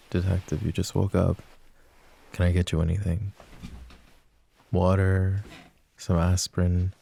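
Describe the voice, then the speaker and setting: A man's voice: low voice, vocal fry, mellow, full character voice. A man playing a possessive, protective mafia boss in an intimate, sardonic boyfriend ASMR roleplay. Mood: wry, caring. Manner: intimate, brooding, sighing. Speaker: voice actor.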